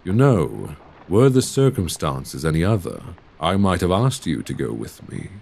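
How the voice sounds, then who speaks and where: A man playing a suave, courteous Victorian gentleman vampire in an intimate ASMR roleplay, speaking in genteel, solicitous phrases close to a binaural microphone. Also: very animated, a little slow, heavily acted. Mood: reflective, gentle. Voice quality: low, creaky voice.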